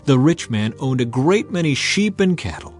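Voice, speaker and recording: A man giving a measured, solemn, dramatized narration of scripture as an audiobook reader. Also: mid-range voice, sing-song. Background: music.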